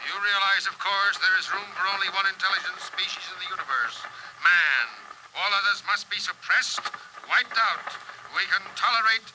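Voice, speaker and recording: An actor in a 1950s radio drama playing a pompous, peremptory colonial inspector, heard over a radio set. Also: male voice, high voice, moderate pace. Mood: imperious. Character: domineering, officious imperial colonial inspector, male. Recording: bright, faintly noisy old radio recording.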